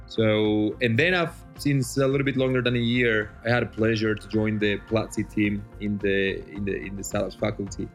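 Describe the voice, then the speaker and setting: Low-voiced Colombian man, easygoing. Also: fairly even intonation, moderate pace, few pauses, soft background music. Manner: friendly, with fillers and restarts. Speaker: guest. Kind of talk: casual interview answers.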